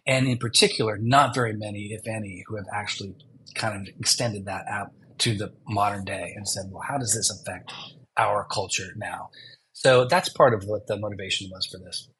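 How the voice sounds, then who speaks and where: A man in his fifties, a documentary director, answering interview questions haltingly with many restarts and fillers. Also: lowish voice, fairly even intonation, a bit bright, slight background noise, poor audio.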